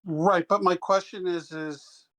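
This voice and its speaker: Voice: medium pitch, steady tone, slow pace, a bit bright. A player in a tabletop game, chatting casually and thinking aloud with hesitations.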